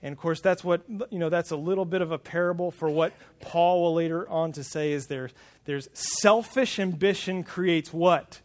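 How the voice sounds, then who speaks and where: A man with a high, light voice preaches to a congregation. He speaks in an earnest way and often stumbles over repeated words as he starts a new point. He wears a wireless lapel mic. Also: normal speed, darkish tone. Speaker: pastor. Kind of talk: sermon. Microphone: wireless lapel mic pack.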